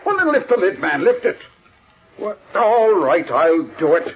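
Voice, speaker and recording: An actor playing a skeptical, dignified judge in an old-time radio mystery, with a formal, brusque and commanding manner. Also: male voice, sits high, big pitch swings, normal speed, noisy background. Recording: tinny vintage radio recording.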